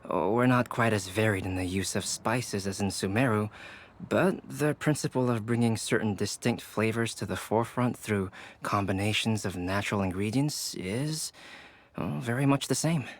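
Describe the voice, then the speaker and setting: A man's voice: on the low side, slight background noise, lightly acted. Man playing a courteous, composed cook. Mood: pleasant, composed. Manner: polite, measured, articulate. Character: courteous, composed man who cooks and explains food. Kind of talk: video game voice acting.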